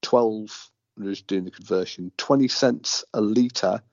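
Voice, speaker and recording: Laid-back man from the UK, low gravelly voice, dull dry recording. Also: unhurried, no echo. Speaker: radio co-host. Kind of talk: casual radio chat.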